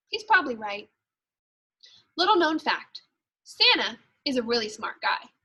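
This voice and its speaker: An eager, fretful little Christmas elf from a children's storybook, read by a woman. The voice is high and bright. The delivery is chipper and confiding, with a punctual worrier's urgency. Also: breathy edge, exaggerated voice, rough recording. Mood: upbeat, confiding.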